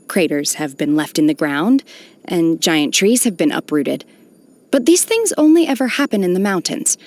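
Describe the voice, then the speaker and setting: A solemn young warrior woman raised apart from the world for a fantasy game. Her voice sits on the low side for a woman. She speaks formally and with restraint, aloof and detached, with a quiet melancholy underneath. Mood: calm, matter-of-fact. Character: stoic, solemn young adepti-trained exorcist woman, aloof yet melancholy. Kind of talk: scripted video game character voice lines.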